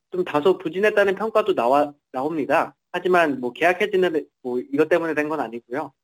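A man's voice: on the high side, low-quality recording. A man reporting in a semi-formal interview, somewhat hesitantly, often repeating and rephrasing his words.

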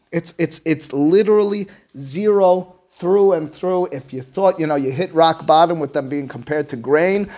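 A man teaching scripture as a rabbi, emphatic and rhetorical, repeating phrases for stress and moving between English and Hebrew verses. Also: medium pitch. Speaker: rabbi giving a class on the Book of Esther. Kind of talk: religious lecture, close textual commentary.

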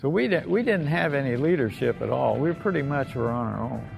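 Elderly American male veteran, oral-history storyteller, plain-spoken and folksy, with a gravelly edge over a music bed. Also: medium pitch, lively intonation, fairly quick. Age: older. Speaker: World War II veteran recounting memories. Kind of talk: unscripted oral-history reminiscence.

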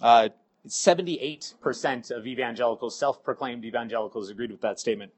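A middle-aged man with a cold, sounding hoarse, giving a halting sermon full of ums and uhs. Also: mid-range voice. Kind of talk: sermon with scripture reading.

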